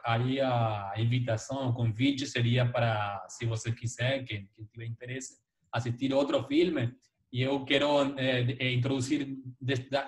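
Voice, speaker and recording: A man with a Spanish accent in Portuguese, giving informal, reflective closing remarks and searching for his words. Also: mid-range voice. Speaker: filmmaker, guest speaker. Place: video call.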